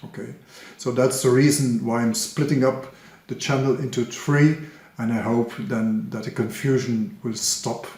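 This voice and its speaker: A man, a Dutch speaker, making a casual announcement to the viewers of his trading channel, with many pauses and fillers. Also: mid-range voice, fairly even intonation, darkish tone, roomy sound. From Flemish Belgium. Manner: hesitant, frequent "uh" and "um", self-corrections. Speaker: trading YouTuber.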